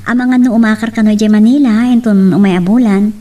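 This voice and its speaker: A woman's voice: medium pitch, steady tone, fast talker, warm tone, recorded from a distance, lightly acted. A woman with an Ilocano accent playing an affectionate young sweetheart and daughter in a romance radio drama. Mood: reassuring.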